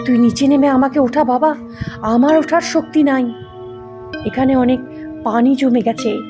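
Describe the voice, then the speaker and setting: A woman's voice: high-pitched, a little slow. A woman voicing a frail old mother in an audio ghost story, faint and weakly pleading. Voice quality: faint, indistinct. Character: frail old mother risen in her grave. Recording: music under the voice.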